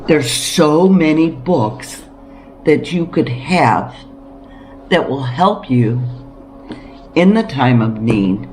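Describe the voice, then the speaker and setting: A woman talking casually to camera about practical living as a homesteading vlogger, encouraging and emphatic, with deliberate pauses. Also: a bass voice, animated, a little mellow, faint music behind. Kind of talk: casual preparedness talk to camera.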